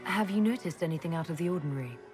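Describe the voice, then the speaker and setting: An actress playing a composed female leader in a video game, speaking in an even, polite, inquiring way. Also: fairly low pitch, rapid-fire, natural voice. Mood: serious. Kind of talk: video game dialogue acting. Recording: clear music behind the voice.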